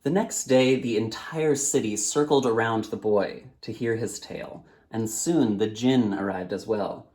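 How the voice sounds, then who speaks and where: A man reading a storybook aloud as its author, in a dramatic, measured narrating style. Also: lowish voice, a little mellow.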